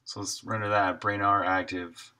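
Low, bright-voiced man thinking aloud. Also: flat delivery, normal speed. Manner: half to himself, in short fragments. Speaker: indie game developer live streaming. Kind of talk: casual think-aloud commentary while working.

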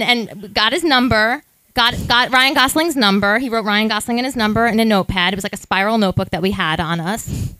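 Excitable rambling woman telling a story. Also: on the high side, no echo, low-quality recording. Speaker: podcast co-host. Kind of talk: live podcast storytelling before an audience.

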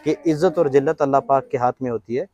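A man's voice: mid-range voice, quick pace, a crowd in the background. A man in his thirties with a Rajasthani Hindi accent who owns a goat farm, answering questions politely with devout phrases, standing outdoors in a farmyard. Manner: polite, says sir often, devout phrases. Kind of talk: answering questions in a casual interview.

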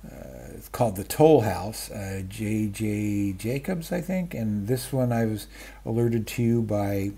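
A man in his fifties or older chatting casually about stories, halting and interrupting himself in a self-deprecating way, with a husky voice. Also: on the low side, expressive, warm tone. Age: 50s or older. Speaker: book vlogger. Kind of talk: casual rambling chat about stories and radio. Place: small room at home, indoors. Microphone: webcam framing, wearing wired earphones.

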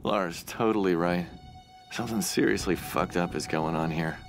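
A man's voice: low-pitched, moderate pace, vocal fry, over music. An actor playing a nervous, wisecracking, self-doubting young man in a casual game monologue. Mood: uneasy joking.

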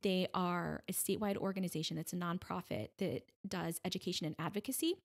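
A middle-aged woman speaking conversationally as an interview guest, telling her story in an animated way and often voicing quoted remarks. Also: lowish voice, a little fast, vocal fry. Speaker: podcast guest, breast cancer advocate.

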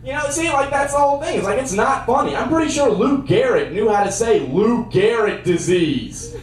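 Young man doing stand-up, very lively pitch. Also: moderate pace, a little hiss or hum. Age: young adult. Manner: deadpan one-liners with sudden loud bursts. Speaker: stand-up comedian. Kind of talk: stand-up comedy set. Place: dark theater stage. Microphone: handheld mic on a cord. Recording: roomy sound from a far camera.

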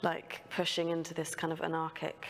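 A woman's voice: sits low, monotone, normal speed, big-room sound. A woman on a studio panel, thinking aloud with hesitant phrasing and many fillers. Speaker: panel guest. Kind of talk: panel discussion.

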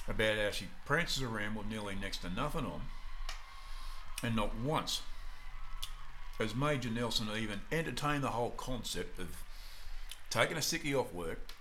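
A boozy, idle middle-aged Aussie dad talks in a lowish, bright-edged voice with wry, mock-posh asides. A faint room hum sits under his lines in a small flat. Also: measured pace, pauses often. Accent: Australian. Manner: wry, rambling, mock-posh turns of phrase. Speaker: actor playing Rob, the father. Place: small suburban flat indoors.

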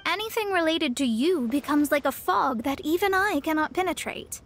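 A woman's voice: high-pitched, animated, moderate pace. An actress voicing a proud young female astrologer in a video game, speaking in a haughty, self-assured way. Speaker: game character. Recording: game audio with soft music.

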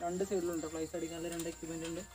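A man's voice: a little high, completely flat delivery, racing, over music. A young man filming himself outdoors in a yard, explaining step by step how he assembles a home workout bench. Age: young adult. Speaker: fitness vlogger. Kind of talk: casual how-to commentary. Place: outdoor garden yard among banana trees. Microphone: camera on tripod at a distance.

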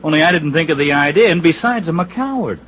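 A muffled, faintly noisy 1950s radio recording of a flippant man. His fairly high voice swings around freely. He talks in breezy, sarcastic slang. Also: expressive, fast talker, a bit bright. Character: flippant, slangy man, a cheerful self-declared coward. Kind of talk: 1950s radio drama acting.

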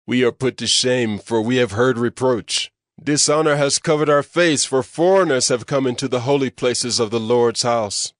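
A man's voice: medium pitch, moderate pace, no echo, clean studio sound, close to an everyday voice. A man playing a shamed, grieving exile of Israel, lamenting in a dramatized scripture reading. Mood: ashamed lament.

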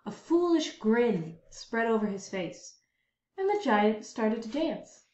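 A woman reading a children's story aloud with expressive, dramatic, emphatic phrasing. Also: fairly high pitch, slow pace. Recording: dull lo-fi, roomy echo.

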